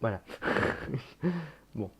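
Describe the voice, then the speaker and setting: A man's voice: very slow, very noisy. A young man casually reacting to his own old videos, chatty and self-mocking, swearing and laughing at himself.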